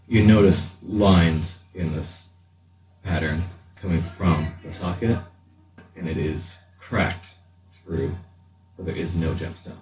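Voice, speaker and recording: A man speaking as game master, improvising narration for a tabletop roleplaying game with halting pauses mid-sentence. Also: sits low, warm tone. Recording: roomy, distant mic with faint noise.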